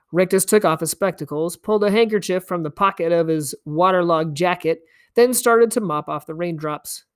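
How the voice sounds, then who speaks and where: Low-pitched woman reading a story aloud. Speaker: story reader. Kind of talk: expressive scripted narration, reading aloud.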